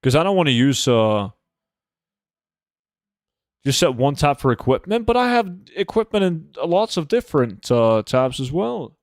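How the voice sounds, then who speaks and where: A man's voice: on the high side, sing-song, a little slow, no room sound, clean studio sound. A man from Norway, chatting casually and bluntly as he livestreams a game, swearing freely. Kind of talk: casual livestream chat while gaming.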